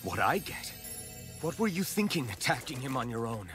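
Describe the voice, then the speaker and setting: A man's voice: medium pitch, sing-song, moderate pace, natural voice. An actor voicing a wary, earnest young rebel swordsman in a video game, direct and questioning. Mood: upset. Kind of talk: video game cutscene acting. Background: music.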